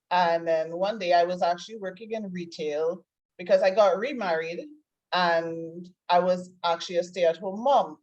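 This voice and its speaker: Trinidadian woman, low voice, call audio. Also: a little mellow, lo-fi sound. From Trinidad and Tobago. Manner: chatty, laughs often, many fillers. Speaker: guest life coach. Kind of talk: casual storytelling interview. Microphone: video call.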